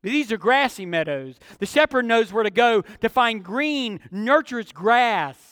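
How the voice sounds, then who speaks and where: A man's voice: extremely high pitch, expressive, a little slow, a bit bright, no echo, studio quality, big-room sound. A man preaching an expository sermon, with emphatic phrasing and repetition for effect.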